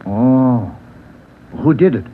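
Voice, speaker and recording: An actor playing a breezy, evasive union steward, folksy and blustering, in a vintage radio drama. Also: male voice, mid-range voice, lively intonation, slow pace, narrow, tinny audio. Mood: evasive, defensive. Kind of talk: 1950s radio drama acting. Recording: noisy band-limited old radio recording.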